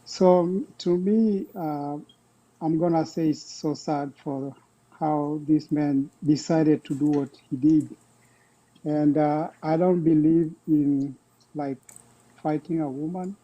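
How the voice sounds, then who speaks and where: A middle-aged man with a Kenyan accent, talking casually and haltingly about himself as a guest caller. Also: on the high side, fairly even intonation, mellow, highs cut off. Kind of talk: casual personal talk.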